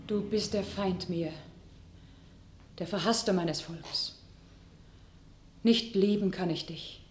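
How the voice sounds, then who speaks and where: A woman's voice: fairly low pitch, takes it slowly, echoey room, a little hiss or hum. A woman playing a defiant, devout young captive warrior maiden in a classical radio drama, speaking in solemn declamation. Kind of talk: classical German stage-style radio drama.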